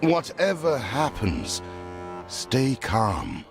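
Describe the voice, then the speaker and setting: Proud young male heir, haughty, impatient, unhurried, music underneath, video game drama. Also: mid-range voice, huge pitch swings, vocal fry, heavily acted. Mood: firm.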